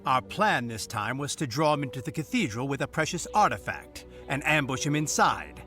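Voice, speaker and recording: A man's voice: medium pitch, very animated, moderate pace, pushed and bright, soft background music. An actor playing a formal young male knight officer in a game, stiff and by-the-book in how he speaks. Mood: explaining.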